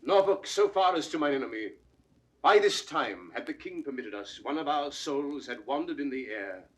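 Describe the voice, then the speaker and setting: A man's voice: medium pitch, animated, moderate pace, lightly acted. An actor playing a proud, defiant banished nobleman, declaiming Shakespearean verse firmly. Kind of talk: 1960s classical Shakespearean verse acting.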